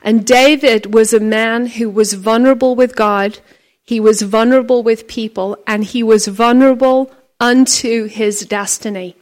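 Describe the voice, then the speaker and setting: A woman with a loud voice giving a chatty, storytelling talk as a speaker at a faith conference. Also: fairly high pitch, unhurried. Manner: chatty, animated storytelling with acted quotes. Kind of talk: conference sermon.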